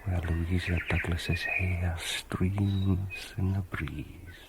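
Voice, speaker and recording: A man playing a stiff, ponderous Victorian letter writer in a radio drama, with a formal, deliberate manner. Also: low-pitched, level tone, very noisy, full character voice. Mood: solemn.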